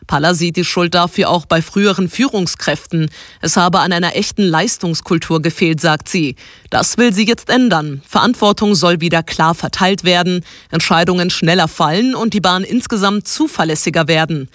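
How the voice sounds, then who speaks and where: A radio news reporter delivering a scripted report in a clear, steady manner. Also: male voice, high voice, moderate pace, dry recording, clean studio sound.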